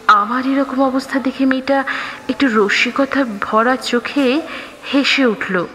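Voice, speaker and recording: A woman reading a scripted first-person story expressively, with dramatic pauses. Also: a little high, bright, forceful tone. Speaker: audio story narrator. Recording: reverberant, music underneath. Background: background music.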